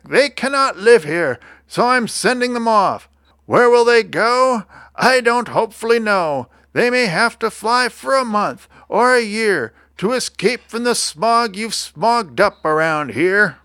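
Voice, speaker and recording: Storybook creature, old and indignant, high-pitched, croaky, scolding, pleading, close dry sound. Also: male voice, lively intonation, measured pace, pushed and bright, dry recording, heavily acted. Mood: mournful pleading.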